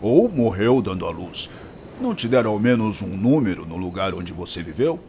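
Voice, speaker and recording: An actor playing a gruff, crude, hard-drinking but protective man in an audio drama. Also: lowish voice, big pitch swings, moderate pace, a little mellow, slight background noise. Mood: gruff, probing. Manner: blunt, crude, grumbling. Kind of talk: acted audio drama dialogue.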